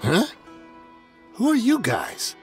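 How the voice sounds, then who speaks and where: A man's voice: high voice, slow, heavily acted. A man playing a nervous, weaselly smuggler in a video game, wheedling and bargaining. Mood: startled, hushed. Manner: wheedling, animated. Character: shifty, nervous male smuggler caught red-handed, weaselly and bargaining. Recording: game music behind the voice. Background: background music.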